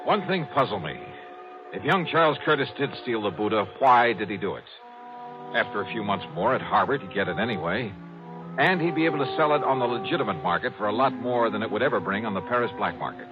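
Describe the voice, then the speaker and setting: An actor with an American accent playing a shrewd, skeptical insurance investigator in a 1950s radio mystery, clipped and wry. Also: male voice, fairly low pitch, expressive, fast talker, music in the background, lightly acted. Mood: musing. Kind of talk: 1950s radio detective acting and narration. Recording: dull vintage radio recording, faint noise.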